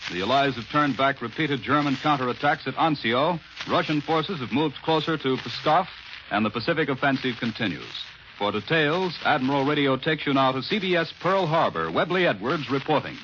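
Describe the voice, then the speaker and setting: A man's voice: medium pitch, animated, normal speed. An American man anchoring a 1940s radio newscast, reading headlines from a script. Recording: hissy, muffled 1940s radio broadcast.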